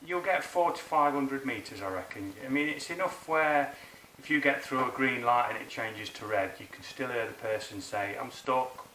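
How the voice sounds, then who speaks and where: A man's voice: medium pitch, moderate pace, hardly any pauses, sharp tone. A man giving a casual, chatty hands-on tutorial in informal, colloquial language. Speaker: motorbike rider presenting a how-to video. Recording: roomy, distant mic, clear background noise.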